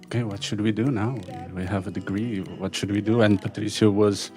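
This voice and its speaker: A male musician whose first language is Portuguese, answering interview questions in a calm, measured way. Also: fairly low pitch, soft background music. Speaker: musician guest.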